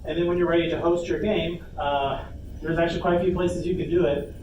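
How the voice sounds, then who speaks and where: A man's voice: a little high, level tone, a little fast, distant mic. A man giving an informal workshop presentation, explaining things step by step with some hesitation. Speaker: workshop panelist. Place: convention panel room. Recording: echoey room from afar, noisy.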